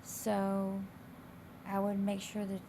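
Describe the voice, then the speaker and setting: A woman instructor with a slightly raspy, hoarse voice, explaining coursework in a casual, loosely phrased, conversational way. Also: mid-range voice, monotone, slow, dark tone. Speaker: college instructor. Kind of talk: informal explanation of course assignments. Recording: clear background noise.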